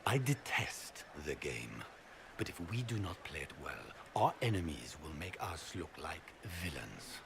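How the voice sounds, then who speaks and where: An actor playing a proud, scheming noble duke in a video game, with formal, courtly, theatrical speech. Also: male voice, a bass voice, big pitch swings, unhurried, vocal fry, noisy background, full character voice. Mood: grave, conspiratorial.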